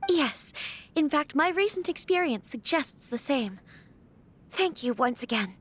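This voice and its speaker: A woman's voice: high-pitched, expressive, measured pace, a little hiss or hum. An actress playing a polite young noblewoman and clan heiress in a video game, earnest and formal in speech. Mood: grateful. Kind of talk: scripted video game character dialogue.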